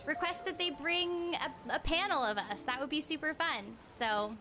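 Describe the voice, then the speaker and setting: A woman's voice: high-pitched, normal speed, bright, forceful tone. A young woman chatting casually and playfully on a livestream while she plays a video game. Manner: playful, bubbly, giggly. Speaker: streamer and voice actor. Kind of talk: casual livestream chat over gameplay. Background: video game music.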